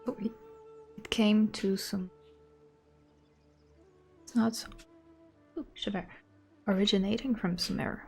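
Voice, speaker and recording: A woman's voice: mid-range voice, fairly even intonation, unhurried, vocal fry, pauses often, soft background music. A woman livestreamer giving casual commentary on a game, rambling informally as she thinks aloud. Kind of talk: casual commentary over gameplay.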